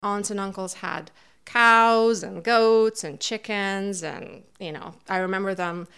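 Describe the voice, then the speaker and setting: A woman's voice: medium pitch, slow, a bit bright, clear, resonant tone. A middle-aged woman from Kazakhstan recalling her family's past in an interview, speaking reflectively with occasional fillers. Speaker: interviewee, NGO founder. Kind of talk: personal recollection in an interview.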